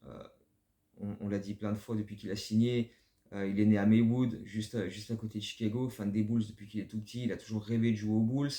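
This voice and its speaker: A man's voice: lowish voice, little pitch movement, fairly quick, dark tone, reverberant. A man hosting a basketball fan channel, giving casual spoken commentary that rambles and often stumbles over repeated words.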